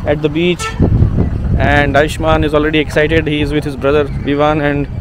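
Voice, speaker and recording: A man narrating his travel vlog casually on a handheld phone outdoors, relaxed and chatty with many fillers. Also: fairly high pitch, noisy background. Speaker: vlogger. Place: outdoors at a beach and roadside. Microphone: handheld phone camera.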